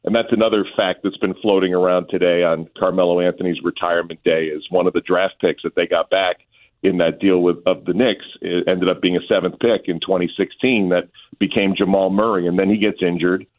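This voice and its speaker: Over a thin, phone-quality line comes a very low-pitched man. He is a sports announcer appearing as a radio guest, talking through his analysis conversationally, in a measured and discursive manner. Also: flat delivery.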